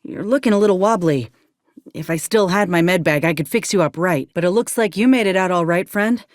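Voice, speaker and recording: A woman's voice: lowish voice, a little fast, dry recording, studio quality, close to an everyday voice. A woman playing a wary, plain-spoken former combat medic turned mercenary in a video game, with folksy frontier phrasing. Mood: wry, guarded. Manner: folksy, drawling frontier speech with ain't and folk. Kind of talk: video game character dialogue.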